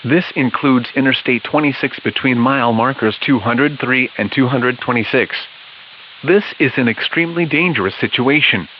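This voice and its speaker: Muffled, hissy male emergency weather announcer. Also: medium pitch. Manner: impersonal, measured reading. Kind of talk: formal scripted emergency weather bulletin. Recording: dull hissy weather-radio broadcast audio.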